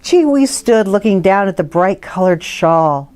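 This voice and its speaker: A woman's voice: mid-range voice, lively intonation, unhurried, darkish tone, clear, resonant tone. A woman reading a scripted story aloud as a storyteller.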